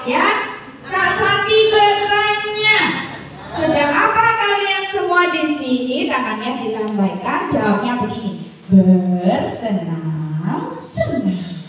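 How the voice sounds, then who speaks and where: A woman's voice: high-pitched, expressive, takes it slowly, fairly bright tone. A woman leading a group of children with sing-song instructions. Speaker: children's storyteller and activity leader. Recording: distant, very echoey hall, noisy crowd.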